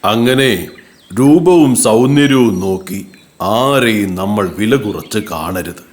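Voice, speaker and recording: A man voicing a wise, patient tortoise in a children's cartoon, giving measured moral advice. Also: on the low side, lively intonation. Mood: calm admonishing. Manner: measured, admonishing. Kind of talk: children's cartoon story voice acting.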